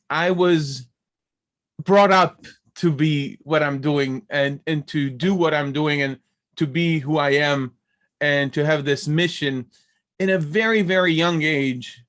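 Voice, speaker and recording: A man's voice: fairly high pitch, fairly bright tone. A middle-aged man from Israel with an Israeli accent, a native Hebrew speaker talking as a guest, talkative and digressive with many fillers and restarts. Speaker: guest, biblical languages professor. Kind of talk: conversational interview.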